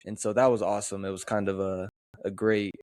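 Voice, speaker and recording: A young man from the western United States co-hosts a podcast in a relaxed, easygoing manner. He often stops on "um". His voice sits low, and the recording is dry and close. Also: dry recording. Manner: relaxed, easygoing, many "um" pauses. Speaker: podcast co-host. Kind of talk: casual chat.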